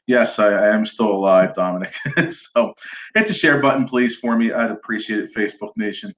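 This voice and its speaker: A man's voice: fairly low pitch, normal speed, fairly bright tone, lo-fi sound. A man hosting a casual live-stream interview, upbeat and chatty, greeting viewers and urging them to share. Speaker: show host, recruiter.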